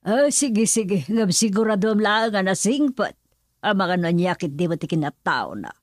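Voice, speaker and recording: A woman's voice: medium pitch, expressive, moderate pace, no room sound, clean studio sound, lightly acted. A woman with a Filipino accent acting in a radio drama as an older, cautious, probing mother.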